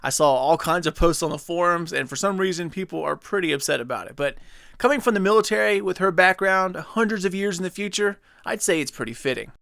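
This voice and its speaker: A man's voice: high-pitched, expressive, brisk, vocal fry, fairly bright tone, dry recording, clean studio sound. Upbeat young man breaking down a trailer. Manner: enthusiastic, chatty, speculative. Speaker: gaming channel host. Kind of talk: casual video commentary.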